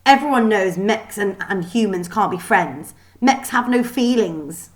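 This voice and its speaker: A woman's voice: mid-range voice, lively intonation, measured pace, lightly acted. A woman voicing a spiteful, sneering schoolgirl bully in a children's storybook, bossy and emphatic. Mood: scornful. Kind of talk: children's storybook read aloud.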